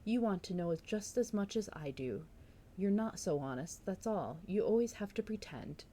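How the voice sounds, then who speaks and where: Woman reading as brisk, nosy village sister, noisy recording. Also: medium pitch, expressive, mellow, lightly acted. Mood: insistent. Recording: noticeable background noise.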